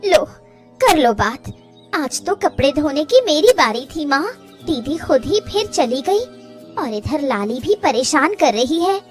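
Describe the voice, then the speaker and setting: A woman playing an excitable, scatterbrained young daughter-in-law in a cartoon family story, exclaiming plaintively. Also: sits high, takes it slowly, faint music behind, exaggerated voice.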